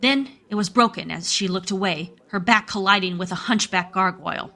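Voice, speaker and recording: A woman's voice: medium pitch, fairly quick, creaky. A woman narrator reading a scripted dramatic story for a radio play. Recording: loud music under the voice. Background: loud music.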